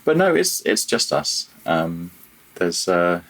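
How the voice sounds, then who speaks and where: A man speaking as a guest in a casual interview, thinking aloud in a halting, self-deprecating way. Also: deep voice, big pitch swings, a bit bright, low-quality recording. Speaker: interview guest, freelance audio developer.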